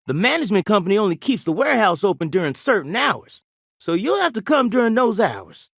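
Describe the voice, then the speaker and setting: A man's voice: high-pitched, big pitch swings, a little fast, gravelly, fairly bright tone, no room sound, studio quality, heavily acted. A man playing a folksy, jovial small-town sheriff in a video game, with a rambling Southern US drawl. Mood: jovial, obliging.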